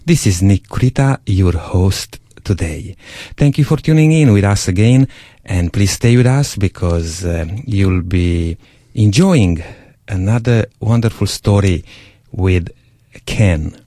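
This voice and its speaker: Slow, deep-voiced male radio host. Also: expressive, dark tone, no echo, studio quality. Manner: slow, measured, encouraging, frequent pauses with "uh".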